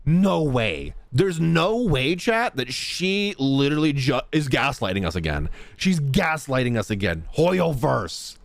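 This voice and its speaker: Unhurried, animated woman game streamer. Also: very deep voice, dry recording. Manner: slangy, interjecting, playfully exasperated. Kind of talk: casual gameplay reaction commentary. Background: game music.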